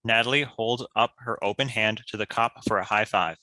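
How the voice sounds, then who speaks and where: A man's voice: lowish voice, moderate pace, sharp tone, low-quality recording. A man reading scripted stage directions as a narrator. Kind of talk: scripted narration of screenplay action.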